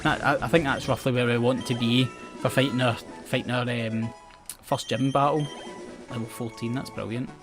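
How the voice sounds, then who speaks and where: Young man, west coast Scottish accent, rambling, self-mocking game commentary, mid-pitched voice, faint game music under it. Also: steady tone, normal speed. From Scotland. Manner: chatty, rambling, self-mocking, often restarts words and laughs. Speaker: gaming channel host.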